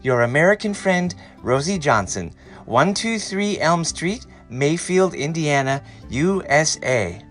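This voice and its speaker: A man's voice: fairly high pitch, lively intonation, unhurried, a bit bright, faint music behind, pitched above the performer's own voice. A man voicing a friendly American girl in a storybook, chirpy and sympathetic as letters are read out. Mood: bright, sympathetic.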